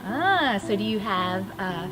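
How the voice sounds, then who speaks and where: A woman host chats casually with a guest in a mid-pitched voice. She is talkative and complimentary, with music clearly playing behind her. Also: very animated, unhurried. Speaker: host, interviewer. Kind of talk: casual promotional chat.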